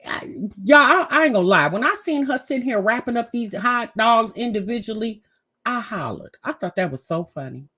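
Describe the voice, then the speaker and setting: Animated, sassy American woman. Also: on the high side, normal speed, husky. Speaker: host reacting to a family vlog. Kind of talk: casual gossipy reaction commentary.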